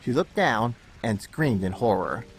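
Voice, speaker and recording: A man's voice: medium pitch, animated, measured pace, rough recording. A man reading scripted dramatic story narration. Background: music under the narration.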